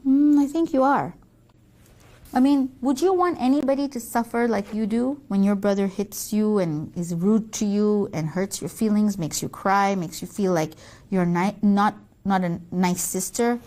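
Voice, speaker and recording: A woman voicing a gentle, firm mother who patiently reasons in measured, coaxing explanations, in a children's story. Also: mid-range voice, voice pushed down, close to an everyday voice. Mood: tender, reasoning. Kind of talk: acted children's story dialogue.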